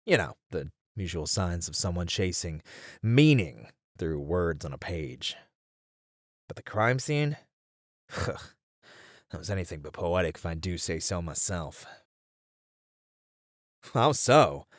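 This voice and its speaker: A man's voice: sits low, big pitch swings, slow, pauses often, no echo, clean studio sound, full character voice. A man playing a weary, frustrated detective in an ASMR roleplay, talking conversationally to a partner and thinking aloud. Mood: grim, methodical. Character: weary, frustrated male detective venting about a serial case. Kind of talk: ASMR roleplay acting, spoken to an unheard partner.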